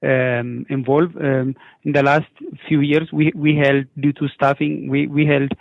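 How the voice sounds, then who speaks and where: A middle-aged man with an Ethiopian accent and a creaky, gravelly edge gives unscripted interview answers. He speaks haltingly, doubling words and stumbling on their first sounds. The sound is dry and narrow, like a lo-fi radio feed. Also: mid-range voice, flat delivery, no echo. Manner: halting, repeats words and stammers on word starts. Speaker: newcomer program coordinator, interview guest.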